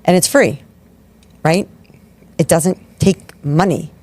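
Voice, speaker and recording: A woman's voice: lowish voice, slow, a little mellow. A woman in her forties hosting a podcast interview, effusive and enthusiastic, affirming her guest often. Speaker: podcast host. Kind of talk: interview conversation.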